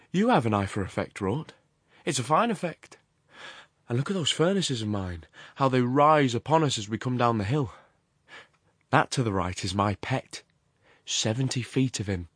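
A man acting a forceful, feverishly talkative ironworks man. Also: mid-range voice, animated, normal speed, dry recording, clean studio sound, natural voice. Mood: excited, boastful. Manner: loquacious, emphatic, abrupt commands. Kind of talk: dramatized storybook narration.

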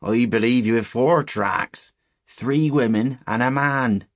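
A man's voice: medium pitch, slow, no room sound, clean studio sound, voice pushed up, lightly acted. Man voicing a grave old rustic English shepherd. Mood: grave, mysterious. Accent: rural English. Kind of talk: storybook character voice.

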